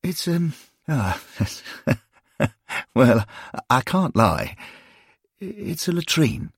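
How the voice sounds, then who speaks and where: A man doing a fussy, fretful old gentleman. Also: on the high side, very animated, very slow, no room sound, clean studio sound, voice pushed up, exaggerated voice. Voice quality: husky, creaky, prim and fretful.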